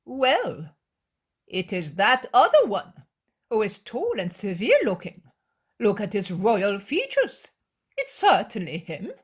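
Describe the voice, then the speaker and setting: A woman's voice: medium pitch, wildly swooping pitch, slow pace, breathy edge, pitched above the performer's own voice, full character voice. Woman putting on a sneering nasal male scribe. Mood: mocking. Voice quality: nasal, drawling. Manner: mocking, accusing.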